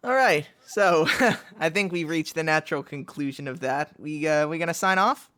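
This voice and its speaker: A man's voice: fairly high pitch, moderate pace, pushed and bright, no echo. A man in casual group banter on a livestream, speaking into a close home mic. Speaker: comic dub cast member.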